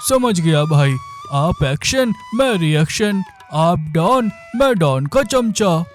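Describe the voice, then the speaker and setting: A man voicing a naive, high-voiced sidekick thief. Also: very animated, slow, heavily acted. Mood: silly. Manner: silly, eager, whiny. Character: naive, food-loving young sidekick thief. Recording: faint background music.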